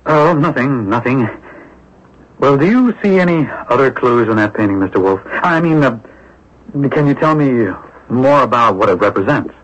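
An actor playing an eager, secretive neighbour obsessed with a mystery in a radio drama, speaking earnestly, with hesitant, insinuating turns. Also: male voice, mid-range voice, lively intonation, moderate pace, natural voice. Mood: eager, coaxing. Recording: dull lo-fi old radio broadcast recording.

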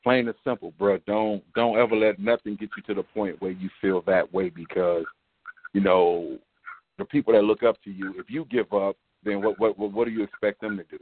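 A man's voice: fairly low pitch, steady tone, brisk, dry recording. A man talking casually and earnestly on a radio show, in a brotherly, encouraging way. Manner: earnest, brotherly, repeats "man" and "you know". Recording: muffled dry call-in radio line.

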